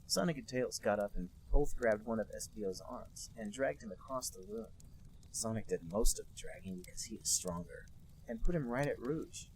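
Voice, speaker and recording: A man co-hosting a podcast, reading a bad fan story aloud in a deadpan, mock-serious way. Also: lowish voice, moderate pace, slight background noise, poor audio. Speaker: podcast co-host reading a fanfic. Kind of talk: comic dramatic reading.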